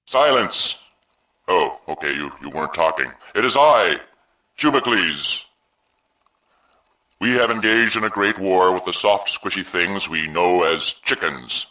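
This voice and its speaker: A blustering cartoon game overlord in a very deep, wildly swinging voice. It rings in an echoey space. He is grandiose but insecure, faltering sheepishly. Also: animated, unhurried, bright, forceful tone, exaggerated voice. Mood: imperious. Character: blustering, bumbling, insecure male leader of cube creatures. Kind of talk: comic game cutscene speech. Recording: echoey, distant from the mic.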